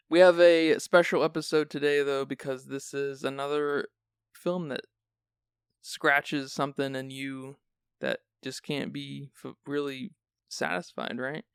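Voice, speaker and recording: A man's voice: medium pitch, little pitch movement, takes it slowly, vocal fry, clear, resonant tone, no echo, clean studio sound. A man hosting a film podcast who sounds sleepy, chatting casually and rambling with restarts. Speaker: podcast host.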